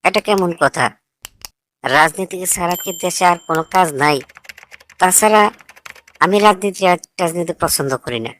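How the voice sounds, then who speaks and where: A woman's voice: on the low side, moderate pace, long pauses, a bit bright, lightly acted. A woman voicing a defiant, idealistic young graduate in a cartoon, arguing in a sarcastic, lecturing way. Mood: indignant. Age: young adult. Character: defiant, idealistic grown child of a politician, foreign-educated. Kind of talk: scripted cartoon dialogue.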